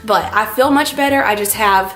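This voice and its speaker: Young woman, hoarse from a cold, chatty and upbeat, home-kitchen vlogger, soft music bed. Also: medium pitch, normal speed. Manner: chatty, bubbly, peppered with "like". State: scratchy voice from a cold. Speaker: cooking vlogger. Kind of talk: casual cooking tutorial.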